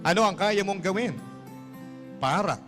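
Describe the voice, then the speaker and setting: Guy radio host reading letters dramatically, slightly high voice, music under him. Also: lively intonation, unhurried. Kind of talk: dramatic scripted storytelling. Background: background music.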